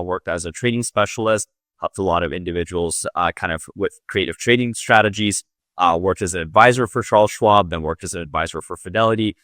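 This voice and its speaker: A Latvian-born man who talks with a plain American accent. His voice sits a little low, and he chats easily as a podcast guest, often pausing on "uh". The recording is close and dry, with no room sound. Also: fairly bright tone, dry recording. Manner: easygoing, many "uh" fillers. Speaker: guest wealth planner. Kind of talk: casual podcast interview.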